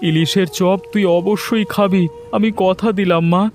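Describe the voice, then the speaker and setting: A man's voice: high voice, fairly even intonation, normal speed, faint music behind, natural voice. A man playing a poor, devoted village father in a cartoon, earnest and full of guilt. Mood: sad, earnest. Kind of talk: Bengali cartoon voice acting.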